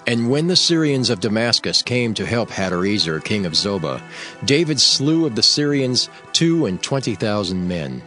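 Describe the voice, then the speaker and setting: Low-voiced man narrating scripture formally. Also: big pitch swings, sharp tone. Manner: formal, measured. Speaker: audio Bible narrator. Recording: background music under the voice. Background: background music.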